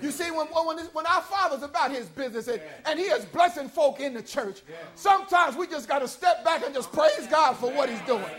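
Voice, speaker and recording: A man preaching a sermon, building into shouted, rhythmic calls to the congregation. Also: extremely high pitch, animated, fairly quick.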